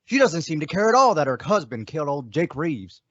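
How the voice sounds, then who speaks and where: A man's voice: fairly high pitch, big pitch swings, a little fast, fairly bright tone, no room sound. A young man playing a chatty, earnest frontier sidekick with rustic phrasing in a radio Western. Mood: earnest, gossipy. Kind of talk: old-time radio Western drama acting. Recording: dull, dry lo-fi recording.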